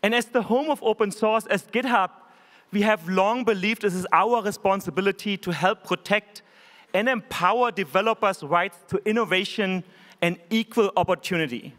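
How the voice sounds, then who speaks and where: A man in his forties with a German accent, giving an earnest, measured keynote speech from a conference stage podium microphone. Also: high voice, a bit bright. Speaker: keynote speaker. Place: large conference hall stage. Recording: hall reverb.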